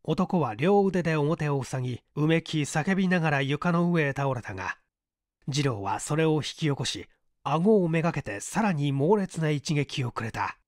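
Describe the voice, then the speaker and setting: A man's voice: on the high side, animated, moderate pace, dry recording, clean studio sound. A man reading a mystery story aloud as scripted audiobook narration. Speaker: audiobook reader.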